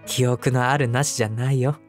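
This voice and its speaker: A man plays a loving young husband in an intimate audio drama. His medium-pitched voice is gentle and affectionate, addressed straight to his wife, the listener. Soft music plays faintly behind him. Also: flat delivery, fairly quick, natural voice. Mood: earnest, pleading. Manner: gentle, affectionate, softly chuckling between phrases. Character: devoted, tender young husband comforting his forgetful wife. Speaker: voice actor. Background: background music.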